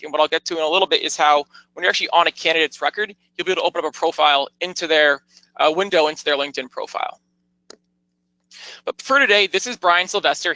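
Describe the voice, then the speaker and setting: Bright-voiced man giving a software walkthrough. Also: on the high side, no echo, rough recording. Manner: explanatory, step-by-step, informal. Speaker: software product demonstrator. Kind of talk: conversational product tour narration.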